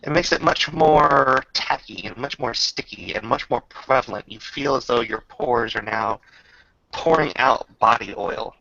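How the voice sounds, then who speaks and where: A man with heavy fry and a forceful edge runs a tabletop roleplaying session as game master. His talk is informal and descriptive, moving between casual rulings and narration. The sound is slightly dull, like a lo-fi voice chat. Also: mid-range voice, measured pace, dry recording.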